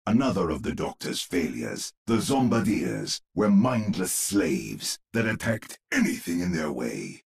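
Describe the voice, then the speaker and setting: A grave old storyteller narrates a gothic video game tale. His voice is very husky and crackles with gravel. He takes his time over each phrase, savouring it with ominous, theatrical weight. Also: very deep voice, full character voice.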